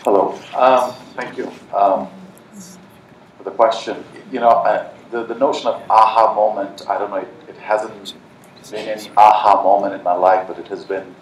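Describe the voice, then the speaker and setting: A man from Nepal talks earnestly on a panel with a somewhat low voice, pausing often with fillers and restarting phrases. He is picked up from a distance in a large, echoing conference hall with a faint hiss behind him. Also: fairly even intonation. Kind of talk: panel talk. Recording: echoey hall from far off.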